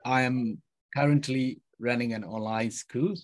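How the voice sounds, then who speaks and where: Middle-aged male teacher, formal and polite, mid pitch, dry video-call audio. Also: fairly even intonation, a little slow, no echo. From Myanmar. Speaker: teacher panelist. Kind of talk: webinar self-introduction.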